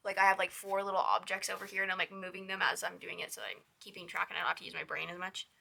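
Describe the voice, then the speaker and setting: A young woman with a hoarse, raspy voice hosts a casual crochet tutorial. She chats with a lot of "like" and counts her stitches in a soft whisper. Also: fairly low pitch, little pitch movement, quick pace. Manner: chatty, with lots of "like".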